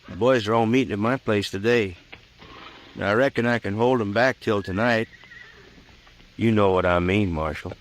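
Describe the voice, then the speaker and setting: A man's voice: fairly low pitch, normal speed, pauses often, close to an everyday voice. A man playing an impatient, slyly threatening Old West homesteader with folksy frontier talk, on an old radio drama recording. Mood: veiled threat. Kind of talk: 1950s radio western acting.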